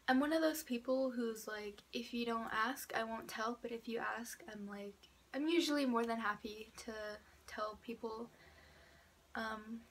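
A woman's voice: fairly high pitch, a bit bright, slight background noise. An eighteen-year-old woman chatting casually to camera about herself in a small room, stuffed up from a cold, chatty and a little sarcastic. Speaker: vlogger introducing herself. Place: small indoor room by a door. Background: passing cars.

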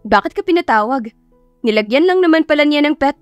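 A narrator plays a flustered Filipino young woman in an audio romance, easily irked and apologetic. The voice is pitched high over faint music. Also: animated, a little fast, no echo, highs cut off. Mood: puzzled, irked.

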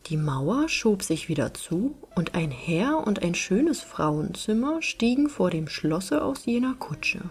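A woman narrating a fairy tale as an audiobook reader, in an expressive storytelling style. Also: mid-range voice, slow, few pauses.

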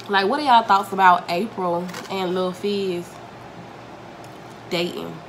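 A young woman, chatting to viewers while eating, a little congested from a cold, recorded at home. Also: medium pitch. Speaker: mukbang vlogger. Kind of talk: casual chat while eating. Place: home dining room, indoors. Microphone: camera mic at table distance. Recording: home recording with noticeable room noise.

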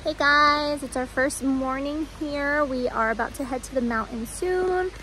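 A woman's voice: sits high, measured pace, loud music behind the voice, low-quality recording. A young American woman chatting casually to the camera as a vlogger, recorded on a phone at arm's length. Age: young adult. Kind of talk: casual vlog chat to camera.

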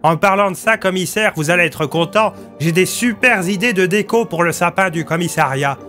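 Sergent de police naïf et bavard joué par un homme. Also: male voice, sits high, moderate pace, pushed and bright, faint music behind, pitched above the performer's own voice. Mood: cheerful, oblivious. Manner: hesitant, rambling, guileless. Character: naive, dim-witted, chatty male police sergeant.

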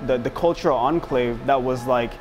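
A man's voice: medium pitch, moderate pace, music in the background. A man in his thirties who owns a restaurant, chatting in a laid-back way full of slang and fillers, recorded in a small restaurant. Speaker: restaurant owner. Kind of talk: casual conversation. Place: small restaurant with a painted mural wall.

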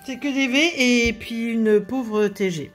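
A woman's voice: a little high, slow pace, soft background music. A woman hosting a casual card-opening video, chatting and chuckling as she comments on each card she pulls. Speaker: card-opening channel host. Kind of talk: casual chat while opening card packs. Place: indoors at a table covered in cards.

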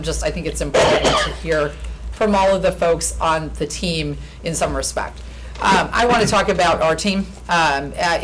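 A woman attorney with a naturally loud voice, making an earnest, persuasive presentation at a podium in a county meeting room. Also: lowish voice, slight background noise, low-quality recording. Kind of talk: formal pitch presentation. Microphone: podium microphone.

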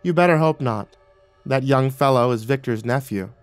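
A man's voice: medium pitch, normal speed, clean tone, faint music behind, close to an everyday voice. A man voicing an irritable, imperious female water nymph with folksy sass in an audiobook, scolding and giving orders. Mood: bossy, impatient. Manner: scolding, bossy, commanding. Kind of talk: audiobook character acting.

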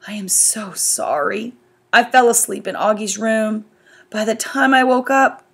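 A woman's voice: medium pitch, expressive, normal speed, natural voice. A woman voicing a worried, overprotective middle-aged mother who talks anxiously. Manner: anxious, apologetic.

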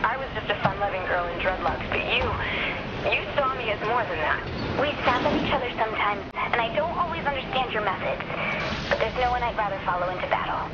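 A woman plays a loyal, emotional fighter in a game cutscene. Her high voice sounds muffled and fights against very loud background roar. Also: animated, brisk, heavy background noise, natural voice. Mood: heartfelt. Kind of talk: video game cutscene acting.

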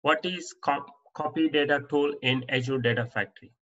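A man teaching as an instructor, reading interview answers off slides in a plain, steady way with small restarts. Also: a little high, normal speed, lo-fi sound. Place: voice-over on slide presentation.

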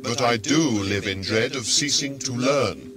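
An actor voicing a coolly logical artificial intelligence adviser in a sci-fi audio drama, precise and formal in speech. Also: male voice, mid-range voice, measured pace, over music, close to an everyday voice. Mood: measured, challenging.

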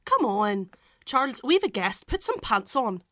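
A bustling, hospitable older mother in a radio drama, played by a woman. She fusses and insists in a lively, rather high voice that jumps about, recorded dry. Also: a little slow, no room sound, full character voice. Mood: welcoming. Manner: chatty, insistent, fussing.

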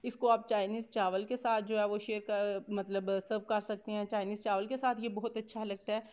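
A woman's voice: mid-range voice, little pitch movement, a little fast, a little mellow. A woman with a Pakistani accent giving a casual, conversational cooking voice-over, explaining steps with repeated filler phrases. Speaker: cooking vlogger.

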